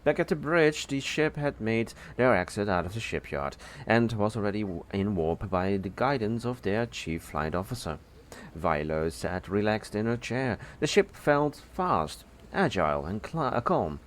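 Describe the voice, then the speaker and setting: A man reading a scripted story as narrator, halting now and then and correcting his words. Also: on the low side, expressive, a little hiss or hum. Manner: halting, stumbles over words and corrects himself.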